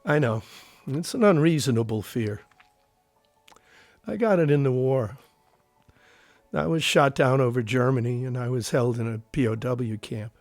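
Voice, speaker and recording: A man's voice: medium pitch, a little slow, pauses often, darkish tone, no room sound, faint music behind, close to an everyday voice. A man acting a plainspoken war veteran, close and dry. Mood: troubled, confessional. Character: grown war veteran, curious, uneasy, haunted by captivity. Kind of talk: audio drama acting.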